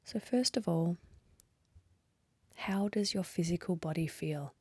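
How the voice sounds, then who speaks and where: A woman leading a guided meditation in a soft, breathy voice, gently and unhurriedly, with long pauses. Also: fairly low pitch, dry recording. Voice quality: soft, breathy, partly whispery. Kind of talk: guided meditation, slow calm instruction.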